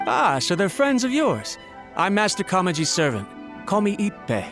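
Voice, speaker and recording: An eager, loyal servant. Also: male voice, sits high, wildly swooping pitch, normal speed, heavily acted. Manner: eager, reassuring. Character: loyal male household servant, eager and fretful. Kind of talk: game cutscene voice acting. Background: game music.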